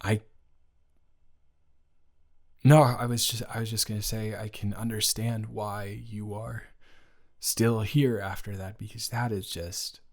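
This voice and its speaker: A man's voice: lowish voice, flat delivery. A young man playing a boyfriend's caring best friend in an improvised romantic audio roleplay, talking in a casual, stammering, awkwardly indignant way. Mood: appalled, sympathetic. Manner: halting, stammering, informal, repeats himself.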